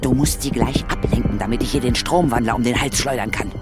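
A woman playing a resolute, unflappable ghost hunter who is brisk and self-assured in a children's audio drama. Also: very deep voice, over loud music. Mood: urgent, alert.

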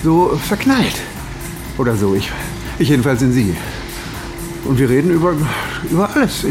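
An actor playing a flustered, defensive 59-year-old editor, stammering and testy in naturalistic radio drama dialogue. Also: male voice, medium pitch, sing-song, normal speed, music in the background, lightly acted. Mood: earnest, smitten.

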